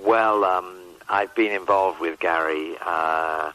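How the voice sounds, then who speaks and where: A man in his fifties answering questions in a measured, hesitant way on a radio phone interview. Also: sits low, fairly even intonation, pushed and bright, poor audio. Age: 50s. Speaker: rock band manager, interview guest. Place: on a phone call to a radio show. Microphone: telephone.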